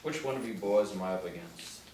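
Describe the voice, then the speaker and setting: Very deep-voiced guarded old veteran played by a man. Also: fairly quick, natural voice. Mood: guarded, weary. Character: guarded old grandfather, war veteran with a hidden past. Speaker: actor at a script table reading. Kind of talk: script table read. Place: indoor room, seated reading panel. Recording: roomy, distant mic, background hiss.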